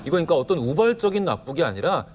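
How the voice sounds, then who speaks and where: A man talking as a panelist in an animated studio discussion. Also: on the high side, very fast. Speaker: talk show panelist. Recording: background music under studio talk.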